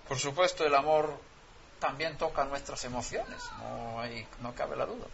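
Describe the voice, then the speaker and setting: A man's voice: mid-range voice, expressive, normal speed, gravelly, fairly bright tone, slight background noise. A man whose first language is Swedish, preaching a sermon and pressing his point with rhetorical questions. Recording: dull lo-fi sound, faint ambience.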